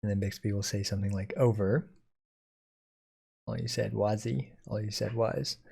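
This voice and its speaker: A man giving a casual software code-along, thinking aloud informally as he types. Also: lowish voice, fairly even intonation, normal speed, creaky, breathy edge. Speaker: software tutorial instructor.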